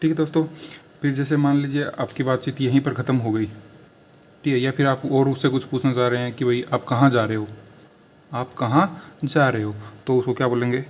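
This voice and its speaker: A man teaching a language lesson, repeating each phrase word by word with drawn-out pauses. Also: medium pitch, steady tone, a little hiss or hum. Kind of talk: slow spoken language lesson.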